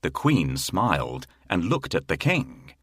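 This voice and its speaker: A man narrating a children's fairy tale in a measured, expressive storytelling manner with a husky voice. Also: low voice, dry recording, clean studio sound. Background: faint music.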